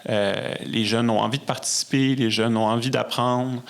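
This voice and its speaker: A man's voice: mid-range voice, fairly even intonation, moderate pace. A man in his thirties with a Quebec French accent, chatting casually as an interview guest. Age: 30s. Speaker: guest, classical musician and conductor.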